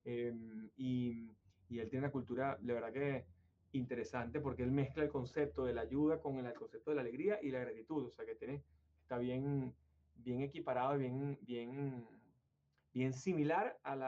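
A man from Venezuela hosting a conversational interview, musing at length and circling back with fillers and restarts. His voice sits at an ordinary pitch, and the recording sounds somewhat dull and lo-fi. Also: steady tone, moderate pace. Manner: rambling, hesitant with many fillers and restarts. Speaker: interview host.

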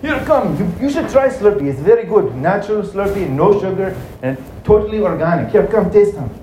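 A man voicing an eager, pushy shopkeeper in a children's story, repeating words like an excited salesman. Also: high-pitched, a little fast, voice pushed up. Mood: eager selling. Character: eager male Indian Slurpee shopkeeper, pushy and effusive. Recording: roomy echo, light background noise.

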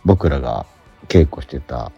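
A man's voice: very deep voice, lively intonation, unhurried, creaky, husky, dull audio. A middle-aged man chatting casually as a radio host, telling stories with acted-out asides. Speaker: radio show host and stage actor. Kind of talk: casual radio chat about a theatre production. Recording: background music under voice. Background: music.

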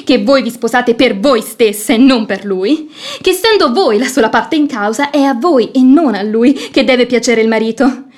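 A woman plays a sharp-tongued, outspoken maid in a radio stage comedy. Her high voice is pert and insistent. She delivers everything with cheeky sarcasm and theatrical flair. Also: normal speed, lightly acted. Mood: scolding, urging.

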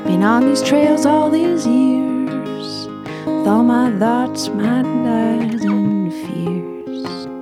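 Woman singing slow folk over guitar. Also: fairly high pitch. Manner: slow, gentle sung melody.

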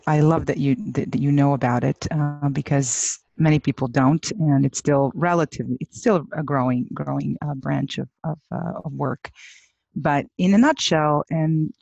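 A woman's voice: low voice, measured pace, creaky, darkish tone, no echo, highs cut off. A woman in her forties from Serbia with a Serbian accent, a podcast guest talking with many fillers and restarts. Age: 40s. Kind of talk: conversational interview.

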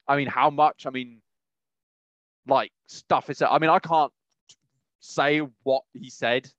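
A man's voice: mid-range voice, fairly even intonation, slow, fairly bright tone, no echo, highs cut off. A man hosting a podcast, chatting casually and opinionatedly in a rambling, emphatic way, often repeating phrases. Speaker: podcast host. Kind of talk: casual opinionated chat about films.

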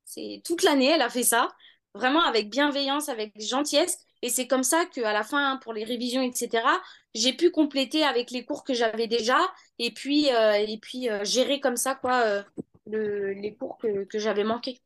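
High-voiced woman in her thirties. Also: normal speed, fairly bright tone. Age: 30s. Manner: hesitant, many fillers and restarts. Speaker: law student interviewee. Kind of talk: casual interview answers.

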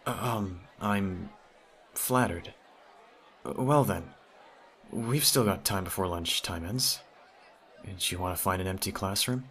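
A man's voice: deep voice, unhurried, pauses often, a bit bright, some chatter behind. A man playing a teasing, smitten teenage childhood friend in an ASMR audio roleplay, talking straight to the listener in a conversational way. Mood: flustered, excited.

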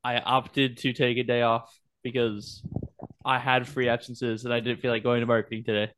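A man's voice: medium pitch, little pitch movement, normal speed, fairly bright tone, slight background noise, rough recording. A young man co-hosting a sports podcast, chatting casually with his friends. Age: young adult. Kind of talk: casual chat.

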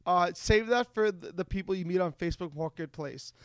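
A man hosting a comedic podcast over a video call, telling rambling, excitable stories with some profanity. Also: high-pitched, brisk, clean tone, dry recording. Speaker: podcast host.

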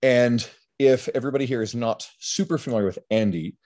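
A man hosting a podcast who introduces his guest in a relaxed, chatty way. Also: medium pitch, fairly quick. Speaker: podcast host. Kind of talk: casual interview.

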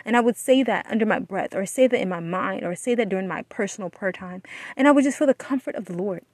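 A woman's voice: medium pitch, fast talker, darkish tone, no echo. Woman giving earnest devotional talk, dry sound. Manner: earnest, exhorting, repeats phrases for emphasis. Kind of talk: devotional encouragement talk with prayer.

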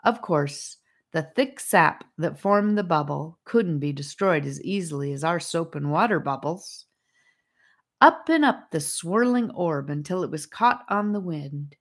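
Woman, low voice, children's audiobook narration, fantasy story reading. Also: lively intonation, unhurried.

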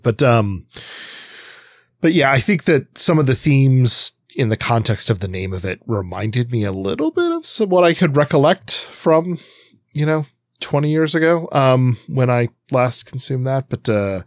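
A man with a fairly low voice discusses things casually as a podcast host. He is reflective and hesitant, often feeling his way through a rambling thought. The recording is dry and close. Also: no echo.

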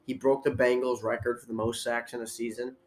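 A young man talks casually with a New England accent, rattling off football stats and team news. His pitch sits in an ordinary middle range for a man. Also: little pitch movement, brisk, a little mellow, low-quality recording. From Connecticut. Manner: chatty sports talk, laughs easily. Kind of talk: casual chat.

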